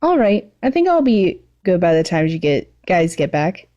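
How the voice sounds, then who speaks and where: A woman's voice: lowish voice, sing-song, moderate pace, dark tone, highs cut off. A woman voicing an earnest, anxious, stammering teenage boy hero in a story reading. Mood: agreeable. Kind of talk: fan fiction read aloud.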